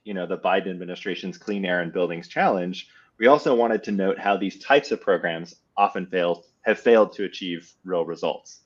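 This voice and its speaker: Male professor guest, lowish voice, measured conversational explainer, slightly muffled lo-fi recording. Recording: dull, lo-fi audio.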